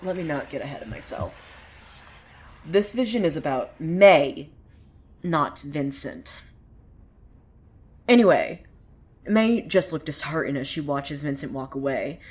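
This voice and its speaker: A low-pitched actress as a female narrator spins a small-town tale for an audio drama. She is sardonic and opinionated, chatting to the listener as if passing on gossip. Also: lively intonation, unhurried, long pauses. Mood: wry, suspenseful. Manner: sardonic, conversational, swearing asides. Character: opinionated, sardonic female storyteller who gossips about townsfolk. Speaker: narrator of a fiction podcast. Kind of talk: dramatic first-person storytelling.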